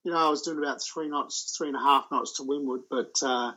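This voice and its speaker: A man talking about his sailing voyage as an interview guest, telling it in a halting, loose way. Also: mid-range voice, fairly even intonation, pushed and bright, dull audio. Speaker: solo sailor guest. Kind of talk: casual interview chat.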